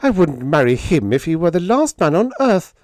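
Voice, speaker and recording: A man's voice: high voice, huge pitch swings, a little slow, darkish tone, dry recording, clean studio sound, voice pushed up, exaggerated voice. A man voicing a spirited, prim young woman who is easily indignant, in a pulp science fiction story. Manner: prim, emphatic.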